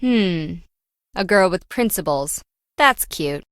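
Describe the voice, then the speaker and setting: A woman's voice: fairly low pitch, lively intonation, slow, clear, resonant tone, no echo, studio quality. An actress playing a brash, slippery woman treasure hunter in a video game, with wry, breezy, slangy teasing. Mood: sly, teasing.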